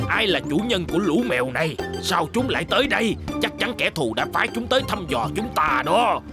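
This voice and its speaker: Gravelly, pompous cartoon police chief. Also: male voice, high voice, sing-song, a little fast, heavily acted. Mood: bragging. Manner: pompous, melodramatic. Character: bragging, bumbling male police chief with heroic bluster. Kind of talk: dubbed cartoon acting. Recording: loud music behind the voice.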